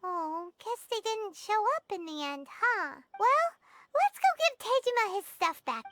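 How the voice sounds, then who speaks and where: A woman voicing a tiny, childlike fairy companion in a video game, chirpy, chatty and excitable. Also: very high voice, measured pace, very noisy, full character voice. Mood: excited, wondering. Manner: chirpy, refers to self in third person.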